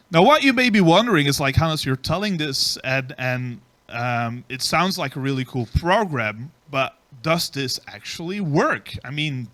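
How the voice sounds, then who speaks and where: A man presents at a conference, speaking at an average pitch. He explains patiently, with frequent filler ums between his points. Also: bright, forceful tone. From Belgium. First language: Dutch. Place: conference stage.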